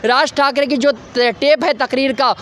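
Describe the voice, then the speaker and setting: A man giving a semi-improvised news report, speaking in a halting, repetitive way with filler phrases. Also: very high-pitched, steady tone, bright, forceful tone.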